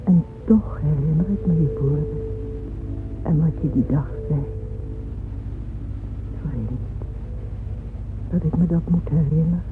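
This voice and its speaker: An actress playing a weary old widow who slowly and wistfully muses on the past in a radio drama. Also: female voice, low voice. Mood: wistful, musing. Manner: slow, musing, reminiscing. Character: weary old widow wistfully remembering long-dead friends. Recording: tinny narrow-band old radio recording, music behind.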